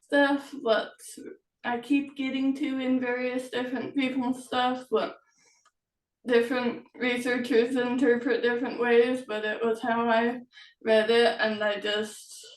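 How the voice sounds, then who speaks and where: A woman author chatting casually in an interview, speaking in halting fragments that trail off. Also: on the high side, level tone. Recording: roomy echo, distant from mic.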